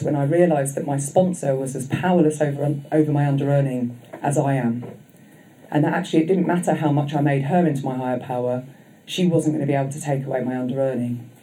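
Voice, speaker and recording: Woman, husky voice, mellow tone, reflective speaker, many pauses and fillers. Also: low voice, slight background noise, poor audio. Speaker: guest speaker at a recovery convention. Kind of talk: personal recovery share. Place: convention session room. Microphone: microphone.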